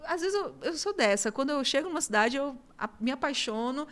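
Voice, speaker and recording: A woman's voice: high voice, animated, a little fast, fairly bright tone. A woman from Minas Gerais hosting a studio talk show, effusive and talkative, laughing often as she chats with her guest. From Minas Gerais, Brazil. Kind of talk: casual studio interview.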